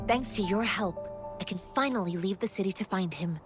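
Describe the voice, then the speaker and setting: A young woman wandering martial artist from a video game, played by an actress, earnest and kind. She speaks in a mid-range voice with polite, measured sincerity, over clearly audible music. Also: medium pitch, natural voice. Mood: worried, sincere.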